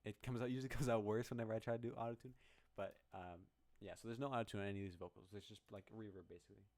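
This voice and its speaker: A man with a very low voice casually walking through his own song's project file, rambling and poking fun at himself. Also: steady tone, very fast, a little mellow. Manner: rambling, self-deprecating, many restarts. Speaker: musician explaining his song.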